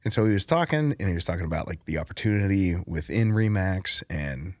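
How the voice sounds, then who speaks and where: A man's voice: low voice, brisk, no echo, clean studio sound. A man, a podcast guest, chatting casually and telling a story with frequent laughs. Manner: laughs often, relaxed storytelling. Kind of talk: casual chat.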